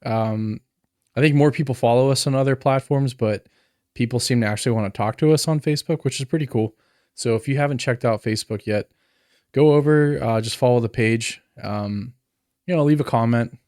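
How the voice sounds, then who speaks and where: A man in a mid pitch hosts a relaxed podcast, wandering through announcements with lots of "um"s and "uh"s. His voice sits close and dry on the mic, with no room sound at all. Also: fairly quick, no room sound. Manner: rambling with many fillers. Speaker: podcast co-host. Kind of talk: casual chat.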